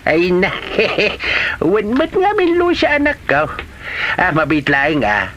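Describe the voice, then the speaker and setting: A man's voice: sits high, wildly swooping pitch, slow, fairly bright tone, muffled recording, slight background noise, exaggerated voice. A man acting as a jovial, exclaiming old father in an Ilocano comedy drama. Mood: amused. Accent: Filipino, Ilocano. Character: jolly, giggly old father joking with his daughter.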